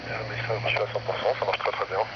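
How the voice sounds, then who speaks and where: Male ham radio operator, deep voice, halting casual delivery, coming muffled through a radio link. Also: level tone, heavy background noise. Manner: hesitant, repeating himself as he goes. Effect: radio link heard through a small speaker. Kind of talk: casual amateur radio chat.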